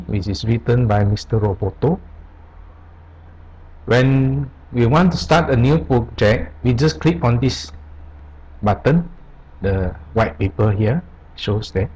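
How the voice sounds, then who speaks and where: Slow, slightly husky man giving a tutorial. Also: medium pitch, mellow, lo-fi sound. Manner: halting, step-by-step explaining in short phrases. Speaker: tutorial presenter. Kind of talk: unscripted software screencast tutorial. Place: voice-over on a screen recording.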